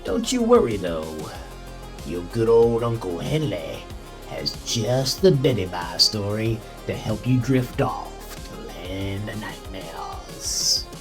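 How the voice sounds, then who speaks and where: Slow, deep, creepy Southern uncle. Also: male voice, very animated. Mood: sinister, teasing. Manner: drawling, folksy, mock-soothing. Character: creepy folksy horror-host uncle, teasing and sinister. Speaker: horror anthology host. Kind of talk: theatrical spooky storyteller introduction. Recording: music playing behind the voice.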